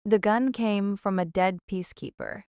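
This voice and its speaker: A woman's voice: medium pitch, moderate pace, darkish tone, clean tone, dry recording, clean studio sound, lightly acted. A woman playing a weary, earnest runaway fugitive in an audio drama.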